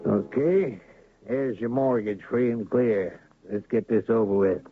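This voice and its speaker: A man's voice: lowish voice, measured pace, natural voice. A man playing a blustering crooked town boss. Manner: blustering, threatening. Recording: tinny lo-fi old radio broadcast.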